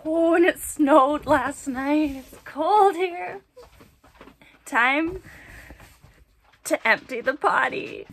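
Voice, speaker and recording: A woman's voice: high-pitched, extremely slow, pauses often. A woman in her thirties chatting casually and candidly in a family vlog, with informal, playful wording. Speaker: vlogger and mother. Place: varies: car, small home, outdoor yard. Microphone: phone at arm's length or propped nearby. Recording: noisy handheld phone recording.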